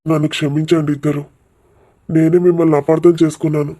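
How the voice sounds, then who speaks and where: Man playing humble middle-class cartoon dad, middle-aged, flat melody, very dark soft timbre, anxious. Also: on the high side, quick pace, lightly acted. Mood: apologetic. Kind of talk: cartoon village drama dialogue.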